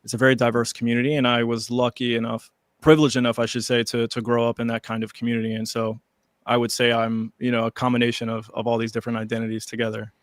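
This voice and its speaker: A man's voice: medium pitch, flat delivery, fast, no room sound. A man in his thirties, born in Iraq and raised in the United States, giving friendly, talkative answers as an interview guest. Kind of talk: conversational interview answers over video call.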